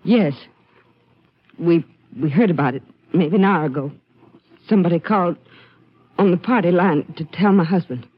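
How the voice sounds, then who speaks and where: Frightened farm wife, muffled recording. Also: female voice, on the low side, breathy edge. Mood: nervous. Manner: anxious, halting, pleading. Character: frightened, trembling farmer's wife. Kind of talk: 1950s radio drama acting. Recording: muffled, hissy old radio recording.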